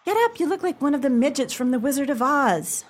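A woman's voice: sits high, animated, a little fast. A woman playing a firm, dismissive love interest in an amateur radio play, speaking in a theatrical, emphatic way. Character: firm, dismissive woman rejecting her suitor.

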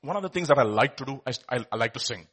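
A man preaching a sermon, with emphatic swings from quiet lines to loud exclamations. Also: medium pitch, animated, rapid-fire, husky. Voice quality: says he has a loud voice.

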